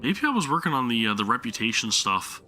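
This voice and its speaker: A man's voice: medium pitch, sing-song, fast talker, bright, forceful tone, faint music behind. A man streaming a game live, chatting casually while his sore throat makes him lose his voice, rambling and apologizing often. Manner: apologetic, rambling, many restarts.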